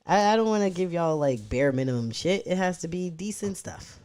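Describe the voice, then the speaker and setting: A man's voice: animated, fairly quick, a little mellow. A young man talking casually to his live-stream chat, rambling and cursing freely as he fiddles with his setup. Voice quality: medium-pitched voice, neither deep nor high.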